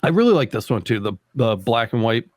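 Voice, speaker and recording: A man's voice: medium pitch, big pitch swings, fairly quick, no room sound. Laid-back man in his thirties, dry sound. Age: 30s. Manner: laid-back, rambling, lots of "like" and "dude". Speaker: podcast host. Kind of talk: casual chat.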